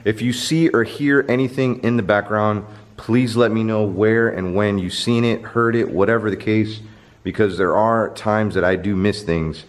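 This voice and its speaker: A man in his thirties hosting a vlog, chatting to the camera and reading off viewer names while exploring a dark abandoned stadium at night. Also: on the low side, fairly even intonation, moderate pace, slight background noise. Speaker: YouTube host. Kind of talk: casual vlog narration. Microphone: handheld camera held close.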